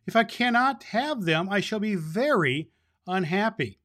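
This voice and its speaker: Man putting on a high pleading little rich girl. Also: lively intonation, unhurried, a bit bright. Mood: tearful pleading. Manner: pleading, plaintive.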